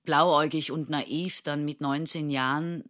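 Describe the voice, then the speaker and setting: A woman's voice: sits low, steady tone, measured pace, fairly bright tone. Thoughtful woman in her thirties, hesitant. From Turkey. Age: 30s. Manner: thoughtful, many fillers and restarts, laughs often. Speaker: actress and interview guest. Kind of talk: casual interview conversation.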